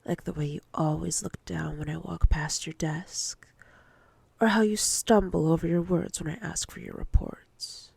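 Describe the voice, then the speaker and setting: Casting: actress for predatory female manager, intimate ASMR roleplay. Slow, deliberate, commanding delivery, whispery with vocal fry. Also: deep voice, steady tone, a little mellow, dry recording, heavily acted. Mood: teasing, possessive. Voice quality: breathy, often whispered. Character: domineering, predatory female boss toying with a nervous employee.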